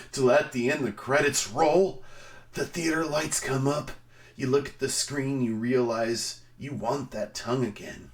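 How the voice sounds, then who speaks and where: A man giving a dramatic reading on a stream, theatrical in delivery, swinging between emphatic outbursts and hushed, drawn-out phrases. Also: medium pitch, distant mic. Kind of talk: dramatic reading of a written review.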